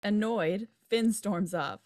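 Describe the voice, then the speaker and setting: A woman's voice: medium pitch, slow pace, darkish tone. A woman reading scripted stage directions at a table read over a video call. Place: indoor room, video call. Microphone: video call microphone.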